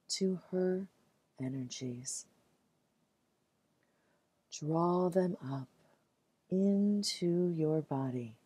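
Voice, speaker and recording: A woman leading a guided meditation in a soothing, gentle, measured way, leaving long pauses between phrases. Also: sits low, slightly rough, dry recording.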